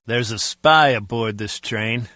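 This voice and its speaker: Sharp-voiced, suspicious henchman. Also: mid-range voice, slow, no room sound. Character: gruff, suspicious male henchman in spy game. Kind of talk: scripted game dialogue.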